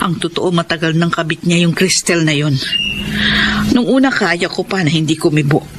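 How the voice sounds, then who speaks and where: A woman's voice: lowish voice, normal speed, background noise, close to an everyday voice. A woman voicing a devoted wife and mother hurt by her husband's cheating, in a radio drama with an emotional, pleading manner. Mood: sorrowful. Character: long-suffering wife, devoted mother, betrayed. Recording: loud music bed under voice.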